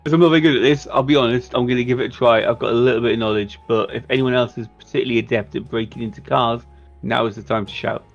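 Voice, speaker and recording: A man with a creaky, gravelly voice talks through plans casually as a tabletop player. The audio is a little dull, with music underneath. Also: medium pitch, fairly even intonation, very fast. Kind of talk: casual in-game planning talk.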